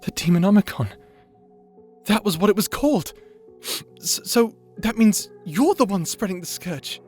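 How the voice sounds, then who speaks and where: A performer playing an insecure, emotional young male wizard, earnest and halting, in a fantasy audio roleplay. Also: medium pitch, expressive, a little mellow, soft background music, close to an everyday voice. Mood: wary, startled. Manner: halting, stammering, pleading.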